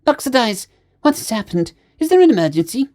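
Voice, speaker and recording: A man's voice: very high voice, very animated, fairly quick, a little mellow, pitched above the performer's own voice. A man voicing a fretful, earnest space otter crewmate in a sci-fi story, asking urgent questions. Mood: alarmed.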